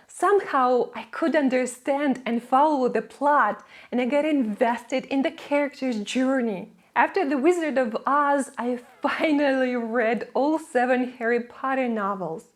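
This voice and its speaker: A young woman whose first language is Russian, giving a scripted English lesson to camera with friendly, playful asides. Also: high-pitched. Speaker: English teacher.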